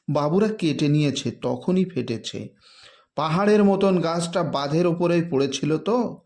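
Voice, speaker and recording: A man's voice: on the high side, a little mellow. A man voicing a blunt, anxious village man keeping watch on a flood, with emphatic colloquial speech.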